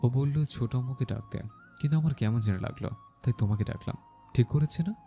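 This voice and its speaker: A man's voice: mid-range voice, fairly quick, warm tone, faint music behind, natural voice. A man playing a bossy but caring young husband in an audio story, with a firm manner. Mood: sheepish. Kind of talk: Bengali audio story acting.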